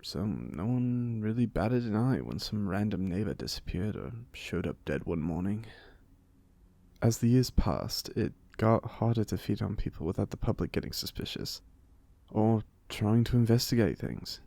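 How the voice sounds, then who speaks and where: Man playing a gravelly, theatrical British vampire. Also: fairly low pitch, expressive, normal speed, mellow, no echo. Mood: wry, confiding. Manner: theatrical, genteel, jokey, conversational. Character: playful, chatty 127-year-old male vampire being interviewed. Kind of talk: ASMR audio roleplay.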